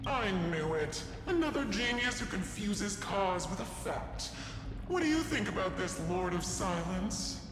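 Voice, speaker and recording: A woman's voice: medium pitch, very animated, a little slow, noisy background. A woman acting as a playful, teasing ghostly observer in a video game. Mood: probing, wry. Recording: echoey hall reverb under loud music.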